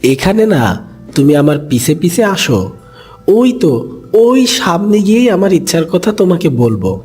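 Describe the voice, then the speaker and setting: A man's voice: high-pitched, big pitch swings, measured pace, darkish tone, breathy edge, soft background music, pitched above the performer's own voice, exaggerated voice. A man voicing a kind, brave and clever young duckling in a cartoon animal fable, earnest and coaxing.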